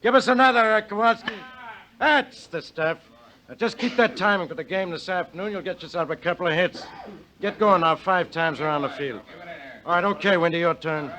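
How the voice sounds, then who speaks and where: Bossy baseball coach in an old radio drama, high bright punchy voice, barks instructions. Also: male voice, low-quality recording. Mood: brisk, encouraging. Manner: barking, instructive, brisk commands. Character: hard-driving, bossy baseball manager barking coaching orders. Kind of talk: 1940s-50s radio drama acting. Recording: vintage mid-century radio recording.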